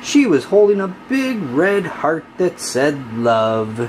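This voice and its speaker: A narrator reading a children's picture book aloud in an expressive, deliberate way. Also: medium pitch, background noise. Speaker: storybook narrator.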